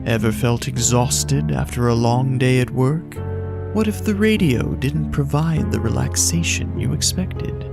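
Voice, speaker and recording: Male drama narrator, measured and ominous, creaky voice, unhurried, strong music bed. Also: medium pitch. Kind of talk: scripted drama introduction. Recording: loud music behind voice. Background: loud music.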